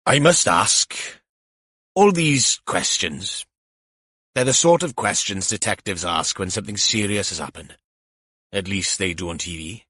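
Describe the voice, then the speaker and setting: A man's voice: sits low, animated, a little slow, bright, forceful tone, breathy edge, no room sound, clean studio sound, heavily acted. A man voicing an earnest, fussy older husband in an audiobook, plain-spoken and slightly aggrieved. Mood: worried.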